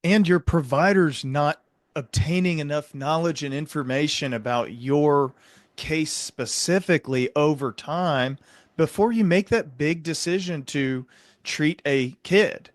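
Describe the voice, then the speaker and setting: Earnest man explaining, slightly higher voice. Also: no room sound. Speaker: psychiatric provider and podcast host. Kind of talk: conversational interview explanation.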